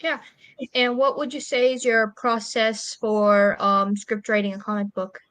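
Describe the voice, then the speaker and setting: A woman's voice: fairly high pitch, flat delivery, measured pace, a bit bright, no room sound. A woman hosting an interview, asking simple questions in a casual way with brief encouraging replies. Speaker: interviewer, host.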